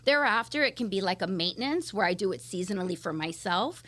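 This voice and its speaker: A woman's voice: mid-range voice, lively intonation, quick pace, fairly bright tone. A middle-aged woman from Miami with an American accent, answering interview questions in an enthusiastic, explanatory and conversational way. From Miami, United States. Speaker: interview guest, esthetician.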